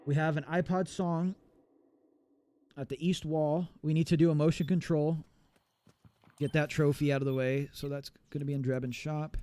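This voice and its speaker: A man's voice: a little high, level tone, normal speed, mellow. A man in his thirties streaming a game live, chatting casually and swearing while he plays. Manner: casual, profane, rambling. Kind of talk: live gameplay commentary.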